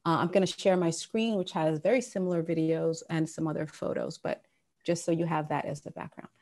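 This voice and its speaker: A woman's voice: low voice, fairly even intonation, brisk, darkish tone. A woman in her thirties from Sudan giving a casual talk over a video call from her home office, with plenty of fillers and restarts. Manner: conversational, many fillers and restarts. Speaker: guest speaker. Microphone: wired earphones on a video call.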